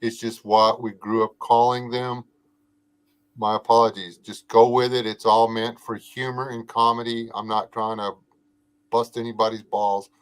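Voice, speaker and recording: A middle-aged man with a soothing, even-pitched voice chats casually on a livestream podcast. He tells jokes and stories in a laid-back way and often pauses with fillers. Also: clean tone, rough recording. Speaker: podcast co-host.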